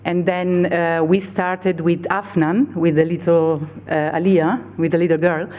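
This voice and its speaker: Woman director, low mellow voice, hesitant panel answers with frequent fillers. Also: flat delivery, measured pace. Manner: hesitant, many fillers and restarts. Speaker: film director on a festival panel.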